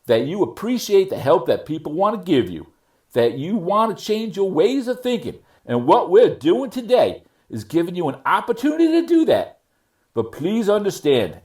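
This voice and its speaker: A man playing a stern but fair judge in a readers theater courtroom, speaking formally and admonishing in a measured way. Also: a little high, darkish tone.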